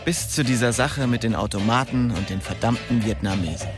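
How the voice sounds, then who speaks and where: A man acts a young, streetwise dealer narrating a radio crime play. He talks in slangy, hard-boiled phrases at a medium pitch. Music runs underneath the studio recording. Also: a little fast, natural voice. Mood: wry. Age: young adult. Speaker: narrator and lead character. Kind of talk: first-person radio crime drama narration and dialogue.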